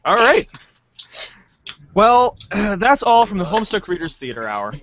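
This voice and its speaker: Theatrical high-voiced man hosting, muffled. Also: sing-song, a little slow, a bit bright, slight background noise. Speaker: show host. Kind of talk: informal show sign-off.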